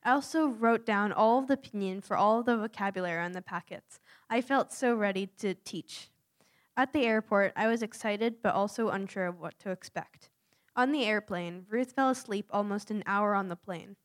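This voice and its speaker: A young woman from the United States reading a prepared personal account aloud in an earnest, steady way. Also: mid-range voice, moderate pace. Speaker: youth mission volunteer.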